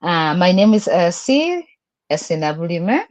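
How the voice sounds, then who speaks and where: A woman's voice: lowish voice, big pitch swings, moderate pace, darkish tone. A middle-aged woman with a Ugandan accent, giving a personal testimonial in short, emphatic phrases on a group call. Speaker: guest, business coach and language teacher.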